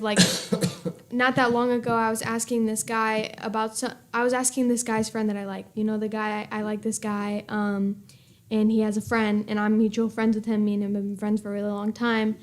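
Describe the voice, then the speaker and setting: High rambling young woman. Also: flat delivery, fairly quick. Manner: rambling, filler-heavy, uses "like" a lot. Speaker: guest. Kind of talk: casual chat.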